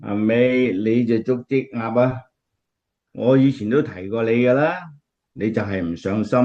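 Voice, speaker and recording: A man's voice: medium pitch, a little slow, dark tone, natural voice. Man playing an earnest Cantonese preacher, slightly muffled. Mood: explaining. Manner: explanatory, earnest, emphatic. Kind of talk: radio drama acting, sermon-like.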